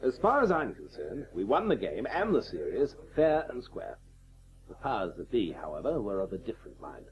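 A blustering, indignant English establishment patriot in radio comedy. His pitch swings extremely widely as he insists and scoffs, over faint noise. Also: wildly swooping pitch, normal speed, lo-fi sound. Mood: stubborn. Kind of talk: radio comedy drama acting.

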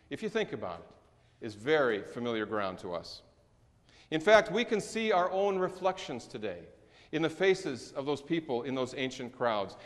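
A middle-aged man preaching in a large church sanctuary, his voice pitched fairly high and moving widely between lifted phrases and low, quiet endings. He stresses key words slowly and deliberately. The room adds a long, ringing reverb. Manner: measured preaching with slow emphatic stresses and falling endings. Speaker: pastor. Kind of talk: sermon. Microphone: distant camera recording in the sanctuary.

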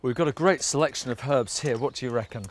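A man's voice: medium pitch, fast, slight background noise. A middle-aged man presenting a cooking segment in a garden centre greenhouse, chatty and jokey, on a lapel mic. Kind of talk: casual cooking chat.

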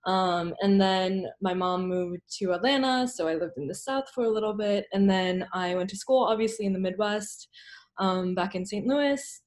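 Young american woman artist, loose chatty talk, laptop mic at home, mid pitch. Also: moderate pace, darkish tone. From United States. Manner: loose and chatty, many ums and likes. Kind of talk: casual panel discussion. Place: home room, video call. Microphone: laptop mic on a video call.

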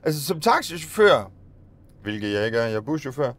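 A man's voice: medium pitch, very animated, fairly bright tone. A middle-aged Danish bus driver, rambling and musing aloud in a casual vlog recorded inside his minibus. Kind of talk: casual vlog monologue while driving. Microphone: phone or dashcam on the dashboard.

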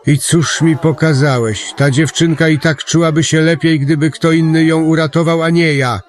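A disillusioned, bitter man, acted by a male performer in a radio play. His fairly high voice is dejected and unconvinced. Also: fairly even intonation, normal speed, lightly acted. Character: bitter, despairing man shown a world without him. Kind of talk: amateur radio play acting.

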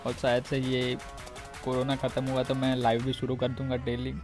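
A man's voice: mid-range voice, monotone, normal speed, music in the background. A man with an Indian accent giving casual, informal commentary on a video game, talking directly to his viewers. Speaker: gaming video creator.

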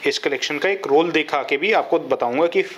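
A fast, fluent salesman in his thirties walks buyers through his stock, his voice sitting a little high for a man. He speaks on a clip-on mic in a roomy showroom, with faint noise humming behind him. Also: fairly bright tone. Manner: fluent salesman's pitch mixing English terms. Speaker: wallpaper shop owner. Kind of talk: product showcase in a store. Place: large indoor showroom office. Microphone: lapel mic.

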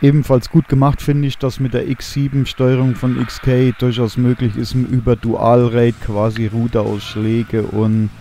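Relaxed young man reviewing a drone. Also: mid-range voice, a little slow, hardly any pauses, faint music behind. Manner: relaxed, enthusiastic, many fillers. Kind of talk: voice-over product review.

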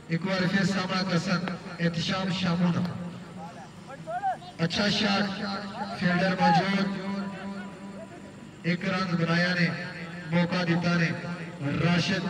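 A man with a Pothwari Punjabi accent giving rapid ball-by-ball live cricket commentary at a floodlit ground at night. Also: high-pitched, little pitch movement, noisy background. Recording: echoey PA from far off, crowd.

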